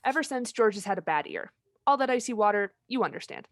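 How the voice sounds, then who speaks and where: A woman playing a brisk, authoritative senior angel in a radio play, businesslike as she tells a story. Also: medium pitch, animated, lightly acted. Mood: storytelling. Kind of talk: old-time radio play acting, storytelling.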